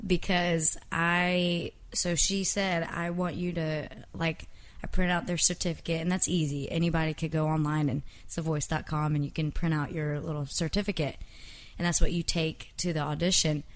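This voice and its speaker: A young woman singer as an interview guest, talking casually and softly, laughing often and trailing off with fillers. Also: low-pitched.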